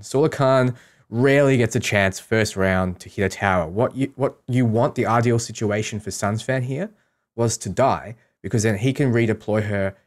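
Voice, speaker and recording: A man giving casual, explanatory commentary on a game, talking through his strategy as a streamer. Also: on the low side.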